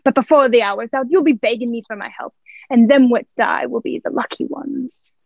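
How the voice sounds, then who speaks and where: A woman's voice: on the high side, normal speed, gravelly, no room sound, muffled recording. A young woman playing a wily, menacing male pirate captain in an amateur radio play, with drawling rustic pirate speech.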